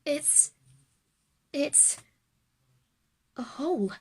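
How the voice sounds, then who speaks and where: A woman's voice: high-pitched, lively intonation, very slow, mellow, full character voice. A woman voicing a curious, bold schoolgirl who leads her friends in an audio drama. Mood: stunned.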